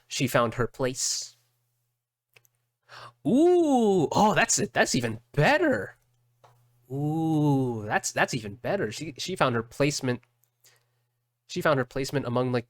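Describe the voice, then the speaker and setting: A man giving casual reaction commentary as a streamer, halting and often repeating his words. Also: medium pitch, a bit bright, dry recording.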